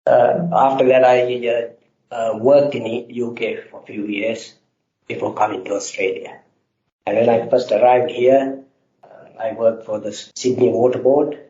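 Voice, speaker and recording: A man's voice: medium pitch, steady tone, dark tone. Middle-aged guy from sri lanka, lots of uhs, roomy dull-ish recording. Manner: halting, many filler uhs. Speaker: guest engineer. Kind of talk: interview answers. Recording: roomy, slightly dull sound.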